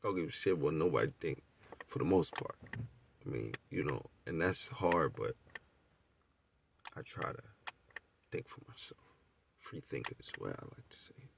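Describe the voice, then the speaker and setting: A man's voice: extremely low pitch, lively intonation, moderate pace, vocal fry, pauses often, recorded from a distance, a little hiss or hum. A middle-aged American man telling personal stories in a casual, profane, candid and rambling way, asking rhetorical questions as he goes. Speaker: storyteller.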